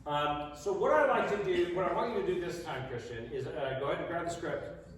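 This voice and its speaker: A man's voice: a little high, lively intonation, fairly quick. Man coaching in a noisy, echoey room. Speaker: voice acting session director. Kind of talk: casual workshop coaching. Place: large convention room. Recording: echoey room, very distant mic, noisy background.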